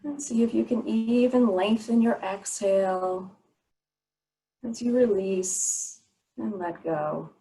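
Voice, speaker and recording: A woman leads a guided relaxation practice as a meditation teacher. Her mid-pitched voice gives soothing instructions in short, unhurried phrases, leaving pauses between them. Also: warm tone, low-quality recording. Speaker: yoga nidra meditation teacher.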